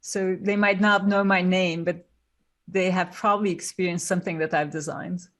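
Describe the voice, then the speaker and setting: A woman's voice: fairly low pitch, steady tone, moderate pace, a bit bright. A woman who grew up in Turkey, a guest designer on a podcast, explaining her process thoughtfully and step by step. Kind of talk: podcast interview.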